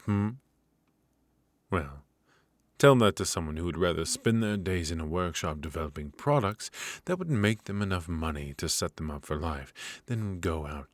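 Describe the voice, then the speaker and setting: A cold, exacting royal professor of magic, voiced by a man, lecturing his pupil in a measured, stern manner. The voice sits very low and deep, close to the mic in a dry, dead room with no echo. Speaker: voice actor in ASMR roleplay. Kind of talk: ASMR roleplay.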